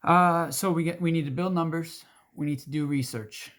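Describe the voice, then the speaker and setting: A hoarse man speaking earnestly to his group as its organizer, with frequent restarts and throat-clearing. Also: a little high, fairly even intonation, normal speed, fairly bright tone. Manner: earnest, rambling with restarts and fillers. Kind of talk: casual direct-to-camera talk.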